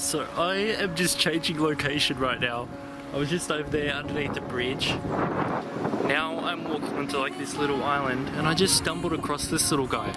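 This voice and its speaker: A young Australian man from Tasmania talking casually into a handheld camera while walking outdoors in windy weather, with lots of fillers and quick bursts of excitement. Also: mid-range voice. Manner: chatty, filler-heavy, easily excited. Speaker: travel vlogger. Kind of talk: casual vlog narration. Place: outdoors, windy riverside in a small town. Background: loud music.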